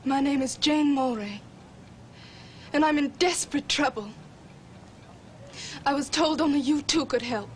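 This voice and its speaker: An actress playing a melodramatic, indecisive damsel in distress in a detective spoof. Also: female voice, high voice, a little slow, long pauses, slight background noise. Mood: desperate. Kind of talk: detective spoof drama acting.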